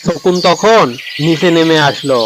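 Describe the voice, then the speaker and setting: A man's voice: fairly high pitch, unhurried, background noise. A man narrating a scripted children's cartoon story.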